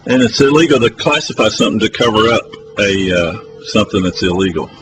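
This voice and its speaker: A man's voice: on the low side, expressive, quick pace. Rambling man with a noisy phone mic. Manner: rambling, hesitant with many fillers. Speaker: public access TV host. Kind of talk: rambling live phone-in broadcast. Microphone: phone camera.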